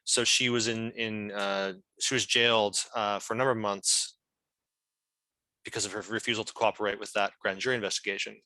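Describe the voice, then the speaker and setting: Low, bright-voiced man, halting delivery. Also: steady tone, no room sound, low-quality recording. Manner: halting, hesitant, earnest. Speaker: legal team member as guest speaker. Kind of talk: informal question-and-answer at an activist meeting. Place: online video meeting. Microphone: video call.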